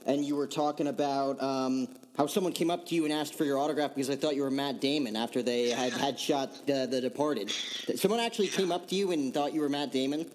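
A man's voice: mid-range voice, darkish tone, a little hiss or hum. A man hosting a podcast, chatting informally and slangily through questions and anecdotes. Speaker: podcast host, interviewer. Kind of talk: casual chat.

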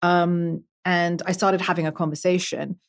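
A woman's voice: on the low side, level tone, moderate pace, vocal fry. A young woman, a guest artist, talking thoughtfully on a podcast, with many fillers and restarts. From half Emirati. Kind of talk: podcast conversation.

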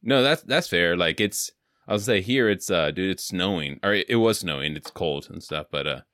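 A man's voice: low-pitched, expressive, normal speed, a bit bright, no echo, clean studio sound. A young man from California hosting a podcast, chatting casually and rambling with lots of filler words. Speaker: podcast host. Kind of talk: casual interview chat.

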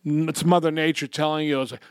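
A man's voice: a little high, quick pace, clean tone, no echo. A man co-hosting a podcast, chatting casually and crudely with comedic gusto and free swearing. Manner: animated, crude, swearing freely. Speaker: podcast co-host. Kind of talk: bawdy comedic casual chat.

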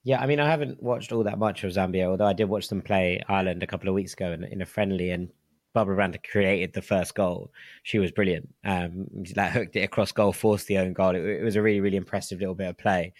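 A man's voice: low-pitched, fairly even intonation, quick pace, no room sound, studio quality. A man hosting a football podcast, enthusiastic and chatty, often repeating words as he talks. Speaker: podcast host. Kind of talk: casual football chat.

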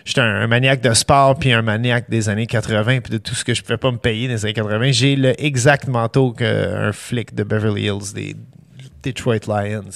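A man whose first language is English, chatting casually in a podcast and telling animated stories. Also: brisk, gravelly. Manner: animated storytelling with laughs and lively pitch jumps. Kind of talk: casual chat.

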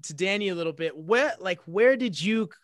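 A male host with a high, bright, forceful voice, recorded dry and close. He is upbeat, laughs a lot and stumbles over repeated words as he tees up questions. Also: expressive, moderate pace, no echo. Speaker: podcast host. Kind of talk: casual interview.

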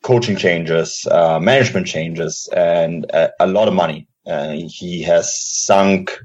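Deep husky guy on a podcast, kinda muffled lo-fi. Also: a little mellow. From Germany. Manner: fast explanatory talk with fillers. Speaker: podcast guest. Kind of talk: casual podcast chat. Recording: dull lo-fi audio.

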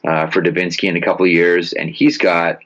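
A man's voice: deep voice, level tone, a little fast, fairly bright tone. A man giving emphatic, punchy sports commentary in a casual style, as a guest on a talk show. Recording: dull lo-fi sound.

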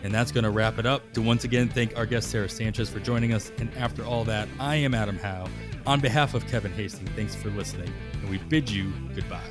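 Low-voiced man hosting a podcast. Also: fast, music in the background. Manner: conversational, hands off to guests and wraps up the show. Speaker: podcast host. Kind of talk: casual fantasy baseball podcast chat.

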